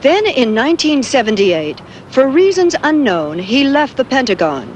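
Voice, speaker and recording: Serious 80s tv news lady, highish voice w lots of inflection, bit of noise. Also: big pitch swings, a little mellow, lo-fi sound. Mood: serious, informative. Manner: formal, measured newsreader delivery. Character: serious female TV news correspondent narrating a background report. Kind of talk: scripted news narration in a TV drama. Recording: slightly noisy 1980s TV broadcast.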